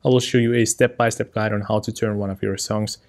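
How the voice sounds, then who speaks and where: A man's voice: on the low side, little pitch movement, fairly quick. Young man explaining cheerfully. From Finland. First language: Finnish. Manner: upbeat, explanatory, thinks aloud with small asides. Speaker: tutorial host. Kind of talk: casual screen-recorded tutorial walkthrough. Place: indoor room with shelves.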